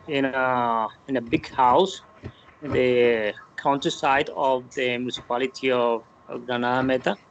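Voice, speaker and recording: A man in his fifties with a Colombian Spanish accent, introducing himself to his class over a video call, halting and repeating words. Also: medium pitch, fairly bright tone, slight background noise. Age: 50s. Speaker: student. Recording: muffled call audio.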